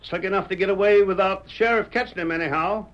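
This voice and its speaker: An actor playing a talkative, loyal frontier deputy with a drawling rural dialect in a 1930s radio drama. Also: male voice, sits high, quick pace, a bit bright. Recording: muffled old radio recording.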